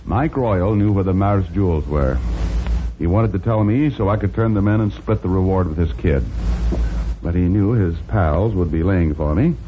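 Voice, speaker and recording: An actor playing a cocky, wisecracking hard-boiled private detective in a 1940s radio drama, with a sardonic, slangy delivery. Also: male voice, sits low, normal speed, a little hiss or hum, close to an everyday voice. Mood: explaining, pleased. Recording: dull vintage 1940s radio recording, music.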